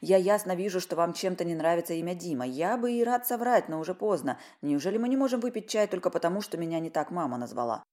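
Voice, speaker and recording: A woman's voice: fairly low pitch, lively intonation, fast, few pauses, a little mellow, close to an everyday voice. A woman voicing a playful, confident man around forty. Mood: coaxing. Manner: playful, coaxing.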